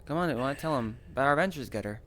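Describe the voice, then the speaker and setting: A man's voice: medium pitch, expressive, very fast, fairly bright tone, slight background noise. A man speaking casually and mildly as a trainer character in an improvised online roleplay. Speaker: player acting a Pokemon trainer.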